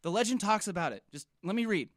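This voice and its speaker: A woman's voice: mid-range voice, animated, quick pace, no room sound, studio quality, natural voice. A young woman playing an eager, dramatic teenage friend in an audio drama, earnest and insistent. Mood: eager, ominous.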